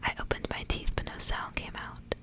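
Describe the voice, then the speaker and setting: A woman whispering softly and breathily as an ASMR host, reading stories and chatting casually. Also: moderate pace. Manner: whispering throughout, soft and breathy. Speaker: ASMR reader and channel host.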